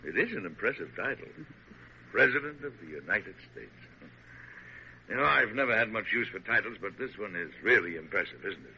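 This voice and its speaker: A man's voice: on the low side, lively intonation, brisk. An actor playing a proud, fussy middle-aged president, playfully pompous with his wife, in a 1940s American radio drama. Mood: mock indignant. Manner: pompous, declamatory. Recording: muffled, noisy old radio recording.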